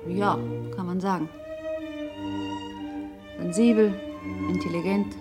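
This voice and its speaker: A woman's voice: lowish voice, steady tone, takes it slowly, over music. An actress playing a self-assured, talkative woman with an ironic, pointed way of speaking in a naturalistic radio drama. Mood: wry, talkative. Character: self-assured, sardonic, independent older girlfriend of a runaway man.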